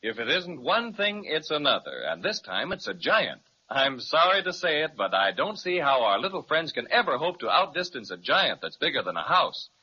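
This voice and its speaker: A man's voice: a little high, big pitch swings, moderate pace, few pauses, husky. A man narrating a 1930s radio serial, announcing a cliffhanger in a dramatic way. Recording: dull lo-fi 1930s radio transcription with hiss.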